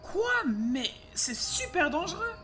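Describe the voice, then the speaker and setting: A woman's voice: high voice, sing-song, slow pace, fairly bright tone, soft background music, heavily acted. A woman playing an anxious, prim little boy who frets and corrects his big brother in an audio drama. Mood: worried. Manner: whiny, correcting, fretful. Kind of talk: French audio drama acting.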